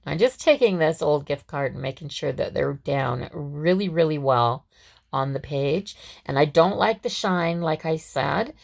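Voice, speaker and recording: A woman with a deep, gravelly and scratchy, hoarse voice. She walks through a craft tutorial in a chatty, rambling way, full of ums and restarts. It sounds like a home crafter talking at her table. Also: measured pace, a little mellow. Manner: chatty, with frequent fillers and restarts. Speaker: craft vlogger. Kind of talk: casual step-by-step craft tutorial.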